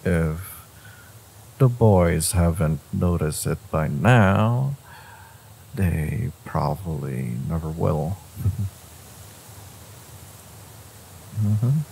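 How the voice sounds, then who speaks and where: A man voicing a smug, possessive, flirtatious fantasy villain in an intimate romance audio roleplay, teasing with hesitant pauses. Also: lively intonation, creaky, a little mellow, slight background noise, full character voice, poor audio. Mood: gentle, teasing. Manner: teasing, hesitant pauses, frequent low chuckles.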